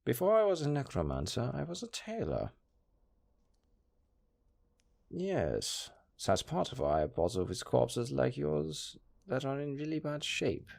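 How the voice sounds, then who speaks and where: A man's voice: mid-range voice, huge pitch swings, normal speed, creaky, hardly any pauses, a little mellow, full character voice. A man playing a pragmatic, chatty necromancer who talks casually and matter-of-factly about grisly work in an audio roleplay. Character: chatty, pragmatic male necromancer, former tailor. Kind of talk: intimate audio roleplay acting.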